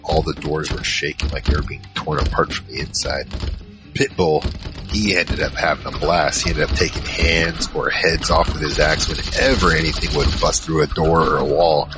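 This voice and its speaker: An actor playing a huge, shaken survivor recounting a monster battle in a halting first-person audio drama narration. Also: male voice, steady tone. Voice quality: very low, heavy voice. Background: music.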